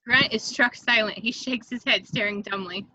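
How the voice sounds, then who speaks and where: A woman's voice: fairly high pitch, fairly even intonation, moderate pace, bright, forceful tone, low-quality recording. A woman reading stage directions as scripted narration for a table read.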